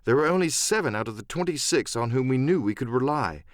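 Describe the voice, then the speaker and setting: Animated male audiobook narrator. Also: mid-range voice, fast, no echo, clean studio sound. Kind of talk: dramatic scripted story narration.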